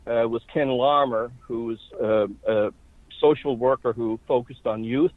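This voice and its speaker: A man in his sixties, from Ireland, telling his life story as an interview guest in a measured way. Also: mid-range voice, steady tone, no echo. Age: 60s. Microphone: phone. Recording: tinny phone line.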